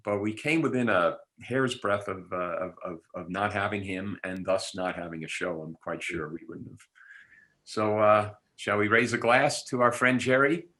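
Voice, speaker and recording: Wry man with a bright voice. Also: lowish voice, moderate pace. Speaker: show alumnus on a reunion panel. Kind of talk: casual reminiscing at a cast reunion.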